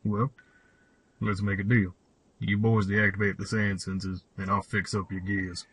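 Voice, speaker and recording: A man's voice: fairly low pitch, little pitch movement, a little slow, fairly bright tone, slightly rough, full character voice. A man playing a cryptic old cave hermit and mechanic in an audio drama, with a solemn, old-fashioned storyteller's delivery. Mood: gruff, practical. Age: older. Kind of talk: fan audio drama acting.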